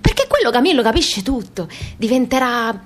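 An actress playing an insistent, wounded grown daughter in a radio play. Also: female voice, on the high side, very animated, moderate pace. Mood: proud, coaxing. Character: grown daughter pleading with her absent mother, insistent, wounded. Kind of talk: radio theatre dialogue.

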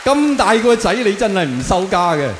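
A man's voice: sits high, sing-song, moderate pace, some chatter behind, slight background noise. A man voicing a bossy, boastful old patriotic grandfather with a Hong Kong Cantonese accent, scolding and lecturing in a stand-up routine. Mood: proud, scolding. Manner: scolding, lecturing, self-important. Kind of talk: stand-up character impression. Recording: echoey hall with long reverb.

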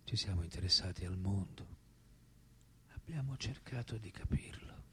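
An actor playing an elderly French philosopher in a radio drama, reflective and unrepentant, speaking in a measured way that often drops toward a breathy whisper. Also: male voice, low-pitched, a bit bright, slight background noise. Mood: serene.

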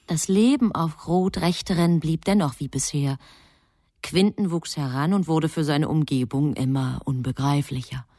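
A woman narrating a literary radio drama from a script in a composed, measured storytelling manner. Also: deep voice, mellow, no room sound, clean studio sound.

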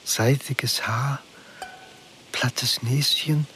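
An actor playing a subdued middle-aged father in a radio drama, recalling his son in halting, reflective, measured phrases full of remorse. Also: medium pitch, fairly even intonation, sharp tone, a little hiss or hum. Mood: subdued, remorseful. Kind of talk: radio drama monologue, introspective narration.

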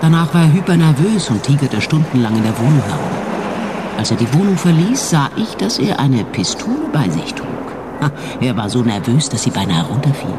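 A man playing a brisk, self-assured amateur sleuth in a comic radio crime drama. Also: mid-range voice, very animated, very noisy, close to an everyday voice. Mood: matter-of-fact.

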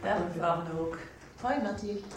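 A woman acting a calm, frank doctor in a radio soap. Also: mid-range voice, moderate pace, loud music behind the voice, natural voice. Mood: friendly, professional. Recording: echoey room, faint noise.

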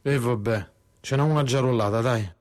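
Wary, easygoing male companion, Roman accent, colloquial, mid-pitched, radio drama; man acting. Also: normal speed, lightly acted. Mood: reluctant, giving in. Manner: colloquial, slangy.

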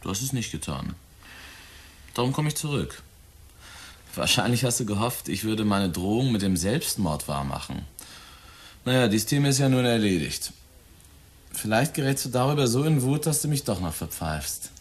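A man's voice: fairly low pitch, very animated, normal speed, pauses often, breathy edge, full character voice. A man in a German radio drama playing a cynical 23-year-old murderer who taunts his father in a cold, flippant, insinuating way. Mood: sly defiant.

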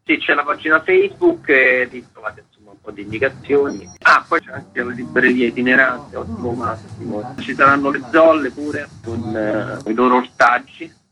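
A man's voice: mid-range voice, expressive, normal speed, pushed and bright. A man, an event organiser, chatting casually and informally as an interview guest. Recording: clearly noisy location background. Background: ambient noise.

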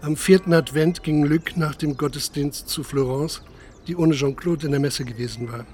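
A man narrating a radio play in a measured, matter-of-fact literary style. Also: fairly high pitch, a little mellow, slight background noise, natural voice. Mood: measured, sober. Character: sober male narrator recounting a village scandal.

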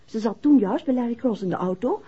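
Husky composed wife in an old 60s radio play, lively intonation, muffled recording. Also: female voice, fairly high pitch, a little fast. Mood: explaining. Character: composed, caring detective's wife recounting events to her husband. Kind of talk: 1960s radio play acting.

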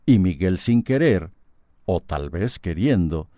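A man's voice: sits low, expressive, slow pace, dark tone, a little hiss or hum. A man with a Costa Rican accent narrating a radio soap in a knowing, theatrical way.

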